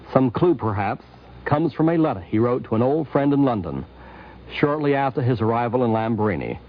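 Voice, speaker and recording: A man hosting and narrating a scripted radio documentary in a muffled 1950s broadcast recording. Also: mid-range voice. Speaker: host and narrator.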